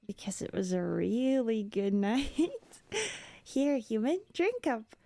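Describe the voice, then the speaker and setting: A woman playing a curious, playful, scholarly young elf in an ASMR roleplay, with a soft breathy voice and a coaxing, teasing manner. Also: on the high side, big pitch swings, slow pace, full character voice.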